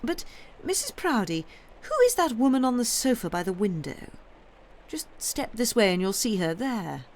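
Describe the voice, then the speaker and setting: A woman's voice: fairly high pitch, big pitch swings, moderate pace, pitched above the performer's own voice. Woman reading as a gushing, snobbish countess. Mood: nosy. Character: haughty, gossiping Victorian countess, effusive and snobbish. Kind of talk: audiobook character voice.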